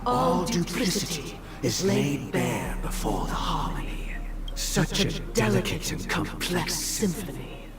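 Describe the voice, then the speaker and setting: A man playing a haughty, pious schemer in a video game, grandiose and ceremonious, with smooth menace. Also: a little high, huge pitch swings, slow pace, heavily acted. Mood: reverent, grandiose. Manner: grandiose, ceremonious, veiled threats. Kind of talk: scripted video game dialogue. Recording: distant voice under loud music.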